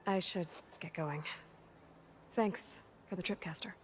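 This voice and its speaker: A woman's voice: fairly low pitch, unhurried, slight background noise, close to an everyday voice. A woman acting a determined, guarded young warrior in a video game cutscene, earnest, blunt and restrained. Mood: brisk, casual. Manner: earnest, restrained, often hushed.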